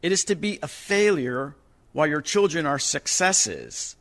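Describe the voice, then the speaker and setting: A man playing a defeated, self-pitying father in a solemn, measured radio monologue. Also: fairly high pitch, animated, a bit bright. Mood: rueful, defeated. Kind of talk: theatrical monologue for community radio play.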